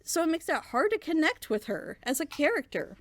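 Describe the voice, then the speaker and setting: A woman's voice: sits high, big pitch swings, a little fast, no room sound. A woman giving an opinionated, casual review in an emphatic, critical manner. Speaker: reaction show panelist.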